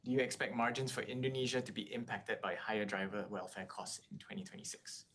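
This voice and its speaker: Male call moderator over rumbling noise. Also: quick pace, a bit bright. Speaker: call moderator reading analyst questions. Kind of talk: reading out questions on earnings call. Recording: clear background noise, low rumble.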